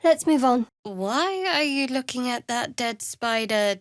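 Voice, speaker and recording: A guarded, high-voiced, sardonic young cleric. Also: female voice, expressive, dry recording. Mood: wary, dry. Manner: dry, measured, wry. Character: guarded, sardonic female cleric of a dark goddess. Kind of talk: fantasy video game voice acting.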